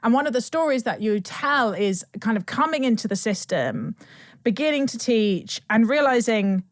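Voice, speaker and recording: A woman's voice: a little high, lively intonation, normal speed, no room sound, clean studio sound. A woman hosting a podcast, asking thoughtful questions and affirming her guest often. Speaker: podcast host. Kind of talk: conversational interview.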